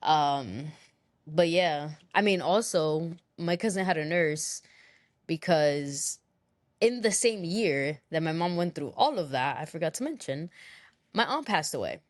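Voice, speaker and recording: A woman's voice: low-pitched, animated, unhurried, no echo, clean studio sound. A woman co-hosting a podcast, chatting casually with loose slang and free swearing. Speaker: podcast co-host. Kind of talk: casual chat.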